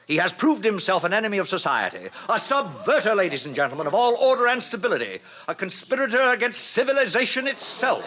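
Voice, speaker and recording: An actor playing a pompous, officious director of a hatchery in a 1950s radio drama, speaking in formal declamation. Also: male voice, high voice, pushed and bright, heavily acted. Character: pompous, authoritarian male director of a baby hatchery. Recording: noisy old radio recording.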